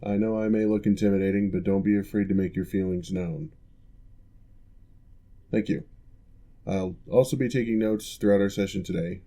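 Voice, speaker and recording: Guy doing a calm formal orc ex-general, lowish mellow voice, measured and reassuring. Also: lightly acted. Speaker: physical therapist. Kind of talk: ASMR roleplay.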